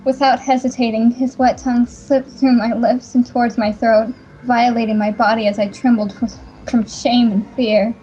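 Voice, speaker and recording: A woman's voice: a little high, level tone, moderate pace, dark tone, highs cut off, close to an everyday voice. A woman voicing a proud, anguished young princess heroine in a game fan dub, earnest and indignant. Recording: music behind.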